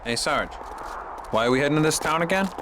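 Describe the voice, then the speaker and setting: An actor playing an American army sergeant, a questioning but deferential subordinate in a war video game. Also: male voice, a little high, animated, brisk, background noise, lightly acted. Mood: respectful, curious. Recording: music behind.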